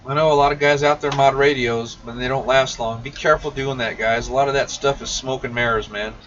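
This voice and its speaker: A man's voice: mid-range voice, fairly even intonation, fairly quick, fairly bright tone. A muffled livestream recording picks up a man a little way from the mic, with faint noise around him. He hosts casually, answering viewers directly in a folksy, slangy manner. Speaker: host of a CB radio shop live stream. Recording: muffled, noisy, distant-mic livestream audio.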